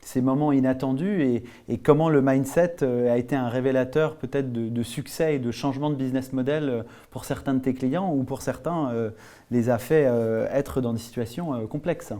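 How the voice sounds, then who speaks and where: A man hosting and moderating a business panel, halting and full of fillers as he introduces guests and asks questions. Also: medium pitch, dark tone. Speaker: host and founder. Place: studio.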